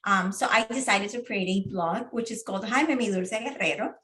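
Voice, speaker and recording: A middle-aged woman speaks as an interview guest. Her voice sits at an ordinary middle pitch, and she talks earnestly, often pausing on fillers and restarting her phrases. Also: fairly bright tone. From Puerto Rico. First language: Spanish. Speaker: guest, diabetes advocate and parent. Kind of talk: casual interview.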